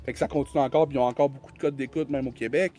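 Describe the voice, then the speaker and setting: A man's voice: medium pitch, fairly even intonation, very fast, mellow. A man with a Quebec French accent, a podcast guest chatting casually and rambling through many restarts. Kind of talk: casual conversational chat.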